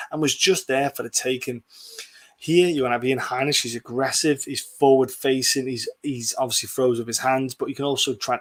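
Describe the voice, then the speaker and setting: A man hosting a casual sports prediction podcast, talking bluntly in a rambling way and swearing freely. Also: medium pitch. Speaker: podcast host.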